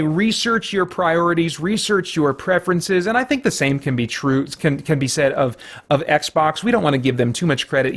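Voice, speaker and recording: A middle-aged American man, an earnest and conversational channel host, speaking directly to his viewers. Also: mid-range voice. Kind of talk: video commentary to camera, part casual musing, part fundraising pitch.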